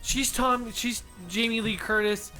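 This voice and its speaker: A man co-hosting a radio comedy show, telling stories in casual banter and swearing freely as he gets animated. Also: very high-pitched, measured pace, faint music behind. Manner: profane, animated storytelling. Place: radio studio.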